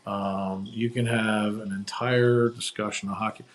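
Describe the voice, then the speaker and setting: A man's voice: on the low side, level tone, a little slow. A man hosting a live stream, chatting casually and rambling through opinionated sports talk. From New Jersey. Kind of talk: casual chat. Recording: echoey room.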